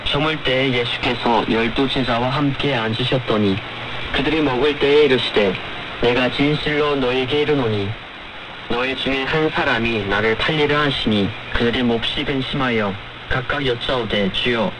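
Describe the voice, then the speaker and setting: Clear shortwave noise surrounds a man with a mid-pitched voice. He reads in a solemn, formal way, with measured phrasing, like the announcer of a religious program. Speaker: scripture reader and program announcer. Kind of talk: solemn scripture reading and formal introduction. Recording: noisy shortwave radio broadcast.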